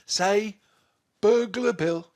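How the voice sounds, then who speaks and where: A man voicing a good-natured, rough-spoken burglar in a children's storybook, exclamatory and folksy. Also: sits high, very slow, darkish tone, voice pushed up, exaggerated voice. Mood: coaxing, cheerful.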